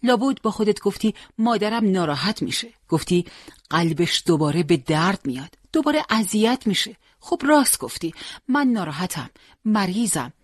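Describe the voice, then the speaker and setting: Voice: medium pitch, lively intonation, slightly rough, no room sound, clean studio sound. A storyteller voicing a worried mother pleading tenderly and reproachfully with her son in an audiobook. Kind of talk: acted dialogue in an audiobook.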